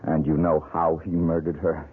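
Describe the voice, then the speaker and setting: A man's voice: rumbling low, flat delivery, normal speed, husky. An actor playing a haunted young man scarred by his childhood in a 1970s radio drama, speaking intensely and broodingly. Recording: tinny 1970s radio broadcast.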